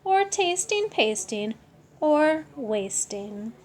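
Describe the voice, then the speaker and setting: A woman's voice: high voice, very animated, extremely slow, a little mellow, pitched above the performer's own voice, full character voice. A woman voicing a firm mother cat who corrects and cautions her kittens. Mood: firm warning.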